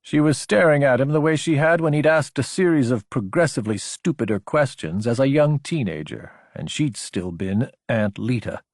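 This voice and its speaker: A man's voice: mid-range voice, expressive, moderate pace, few pauses, darkish tone, no room sound, studio quality. A man narrating scripted dramatic fiction as an audiobook narrator.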